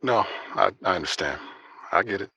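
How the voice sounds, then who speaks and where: A man's voice: sits low, animated, normal speed, vocal fry, bright, forceful tone, slightly rough, no room sound, lo-fi sound, exaggerated voice. A man acting in an audio drama as a persuasive, yearning secret lover, speaking in a coaxing, intimate way. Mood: wistful.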